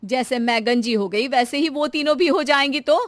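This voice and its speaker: Woman putting on a high-voiced, complaining housewife. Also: moderate pace, no echo, close to an everyday voice. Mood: worried. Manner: complaining, dramatic. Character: Shanti, bald, fretful, sharp-tongued middle-aged housewife and mother-in-law.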